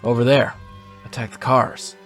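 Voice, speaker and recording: A man playing a steady, practical game warden in an audio drama, speaking tersely. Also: mid-range voice, normal speed, faint music behind, lightly acted. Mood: steady, grave.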